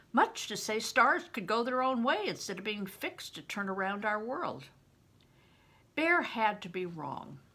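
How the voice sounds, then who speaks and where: An older woman reading a children's novel aloud expressively, with deliberate dramatic emphasis. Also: medium pitch, a bit bright, breathy edge. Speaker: audiobook reader, retired teacher.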